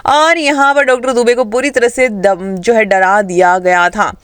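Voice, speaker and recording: A smooth, clear voice narrates a TV serial recap as a reviewer. The delivery is emphatic storytelling, addressed straight to the viewers. The sound is close and dry, at a middling pitch. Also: fairly even intonation, unhurried, fairly bright tone, no room sound. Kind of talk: dramatic plot recap narration with quoted dialogue.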